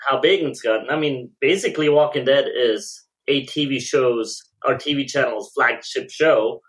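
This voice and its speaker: A man's voice: mid-range voice, normal speed, fairly bright tone. A man co-hosting a podcast, chatting casually in an informal, slangy way. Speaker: podcast co-host. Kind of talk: casual chat among comics fans.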